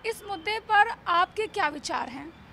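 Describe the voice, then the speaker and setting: Very high-pitched woman news reporter, bright voice. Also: animated, measured pace, gravelly, slight background noise. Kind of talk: news field reporting and interview.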